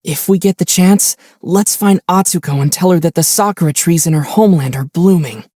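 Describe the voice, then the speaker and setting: A man voicing a calm young adventurer in a video game, easygoing and measured, with a gently wry, teasing manner. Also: on the high side, no room sound, clean studio sound, lightly acted. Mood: warm, calm. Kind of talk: video game character dialogue.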